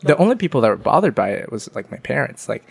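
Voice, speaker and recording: A man's voice: mid-range voice, sing-song, fast talker, gravelly, husky, no room sound. A young man from Vietnam telling funny stories about his childhood in a casual chat, joking at his own expense. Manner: jokey, self-deprecating storyteller.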